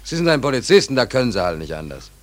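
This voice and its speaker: An actor playing a down-and-out American sailor in a 1940s German radio play, weary, wry and stubborn, with colloquial, sardonic, rambling talk. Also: male voice, mid-range voice, very animated, rapid-fire, dry recording, close to an everyday voice.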